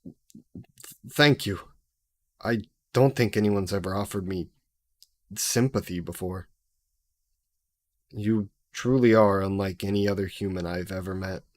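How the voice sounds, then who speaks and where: Male actor as ancient demon, sassy and possessive, low voice, very unhurried, formal sardonic phrasing, audio roleplay. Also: no room sound. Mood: softened, grateful. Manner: haughty, sardonic, formal wording. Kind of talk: scripted audio roleplay addressed to the listener.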